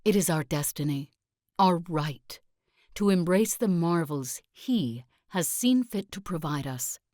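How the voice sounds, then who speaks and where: A low-voiced female sect leader for a strategy game. She delivers her lines slowly and solemnly, like a preacher who is wholly sure of her creed. The sound is dry and close. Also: lively intonation, mellow, no room sound. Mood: fervent preaching. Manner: solemn, deliberate, sermon-like oratory. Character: zealous self-help guru and sect leader, preaching with calm conviction. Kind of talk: scripted video game character monologue.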